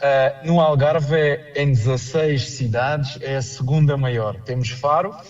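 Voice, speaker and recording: A man with a European Portuguese accent answers in a measured, explanatory way, pausing now and then on hesitations. He comes through a muffled, lo-fi call, sounding somewhat distant from the mic. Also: mid-range voice, fairly even intonation. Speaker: local political candidate. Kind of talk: remote interview answers. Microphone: call line.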